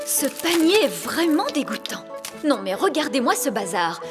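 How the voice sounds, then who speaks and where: High-voiced, bossy fairy nanny. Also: female voice, big pitch swings, normal speed, music in the background. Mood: disgusted, bossy. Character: bossy, fussy fairy nanny with magic spells. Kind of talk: French cartoon dubbing.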